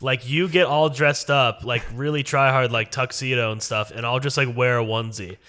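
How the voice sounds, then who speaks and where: Young man with a bright, clear voice. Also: mid-range voice, normal speed. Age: 20s. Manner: relaxed, chuckling, trails off softly. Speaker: podcast guest. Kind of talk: casual conversation.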